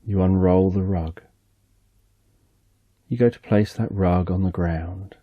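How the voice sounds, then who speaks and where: Soft-spoken deep-voiced man guiding a relaxation story. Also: steady tone, dry recording, dull audio. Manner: slow, soft, soothing, second-person guidance. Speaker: narrator. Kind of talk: slow guided relaxation story.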